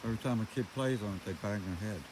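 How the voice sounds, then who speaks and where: A man's voice: fairly low pitch, fast. An older man talking casually in a plain, folksy way as he rambles through a walkaround commentary outdoors. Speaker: vlogger showing around own farm. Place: outdoors on a rural farm. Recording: noisy outdoor recording with loud ambient noise.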